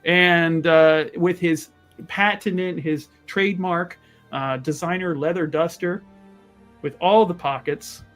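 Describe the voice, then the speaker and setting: Higher-voiced man, halting, over music. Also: steady tone, a bit bright. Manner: halting, repetitive, wordy. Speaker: tabletop roleplaying player. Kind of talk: improvised character narration at a game session.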